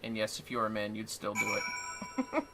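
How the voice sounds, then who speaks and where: A man's voice: fairly low pitch, animated, moderate pace, creaky. A man chatting casually on a gaming livestream, joking and swearing as he plays. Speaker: game streamer. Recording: music behind the voice.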